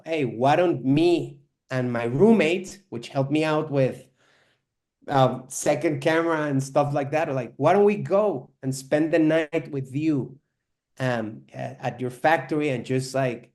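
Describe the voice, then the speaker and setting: Man, young adult, from Spain, rambling with fillers, a bit higher voice, filmmaker guest. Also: a little mellow. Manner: rambling, many fillers like "you know" and "like". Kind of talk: online interview.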